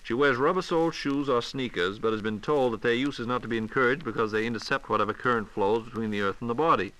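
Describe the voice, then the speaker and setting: A man hosting a 1940s radio question program, reading out listener questions in a polite, crisp announcer manner. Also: medium pitch, fast talker, fairly bright tone, low-quality recording. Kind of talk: semi-scripted 1940s radio question-and-answer. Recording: 1940s radio broadcast recording.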